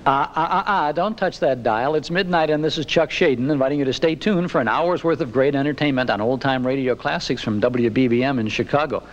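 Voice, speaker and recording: A man's voice: medium pitch, fairly quick, hardly any pauses. A man hosting an old-time radio show with friendly, folksy announcer patter. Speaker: radio host.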